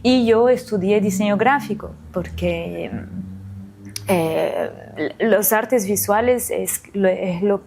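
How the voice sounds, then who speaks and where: A woman from France, in her forties, answers interview questions about her life in an unhurried way. She pauses often and fills gaps with soft hesitations and restarts, thinking aloud as she goes. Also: medium pitch, gravelly, slight background noise. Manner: hesitant, many fillers and restarts. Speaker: interview guest, illustrator. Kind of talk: reflective conversational interview.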